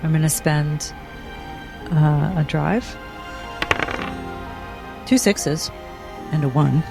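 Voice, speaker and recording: A woman's voice: sits low, extremely slow, creaky, music in the background. A woman who is a player in a tabletop roleplaying game, casually describing what her character does.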